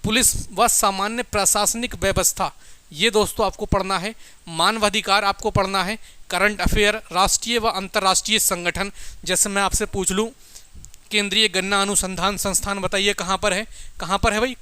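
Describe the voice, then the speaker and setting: Male coaching teacher, crisp clear voice, dry close sound, repetitive friendly address, emphatic lecture delivery. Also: sits high, pushed and bright, no room sound. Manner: repetitive check-ins, addressing listeners as friends and brothers. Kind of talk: informal syllabus lecture to exam aspirants.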